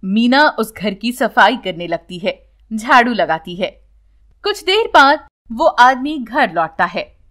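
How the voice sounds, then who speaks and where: Female storyteller for scripted children's tales; high register, very lively intonation. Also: takes it slowly.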